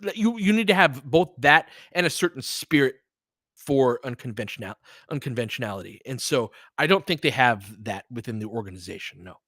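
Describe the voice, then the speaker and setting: A man's voice: medium pitch, expressive, fairly quick, a bit bright, slightly rough, no room sound, clean studio sound. An American man hosting a casual, unscripted sports livestream, speaking in a candid, opinionated and emphatic conversational way. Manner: candid and opinionated, swears freely. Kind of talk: casual, unscripted sports commentary chatting with listeners.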